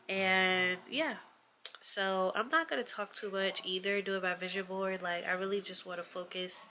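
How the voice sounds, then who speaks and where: Stuffy-nosed woman vlogger, bright tone. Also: fairly low pitch, slight background noise. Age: 20s. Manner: relaxed, chatty, many fillers. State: stuffy nose from allergies. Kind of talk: casual chat to camera. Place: small bedroom, indoors. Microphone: camera close up on a desk.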